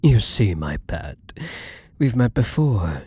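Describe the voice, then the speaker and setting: Man acting out a husky, low-voiced possessive lover. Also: very animated, mellow, heavily acted. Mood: adoring, confessional. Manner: slow-savoring, doting, menacingly tender, formal wording. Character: possessive, obsessive, wealthy male gambler, devoted and controlling lover. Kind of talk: intimate ASMR roleplay acting.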